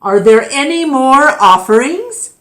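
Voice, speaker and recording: Woman voicing a grand, slow old storybook keeper. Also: sits high, big pitch swings, pitched above the performer's own voice. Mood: grand, proclaiming. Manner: grand, deliberate proclaiming. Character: solemn, kindly old male keeper of the flame. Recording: echoey room.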